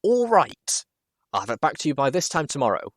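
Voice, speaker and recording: A man's voice: fairly high pitch, big pitch swings, a little fast, no room sound, clean studio sound, pitched above the performer's own voice. A man voicing a shy, eager young pony mare technician who is earnest and nervous in a read-aloud story.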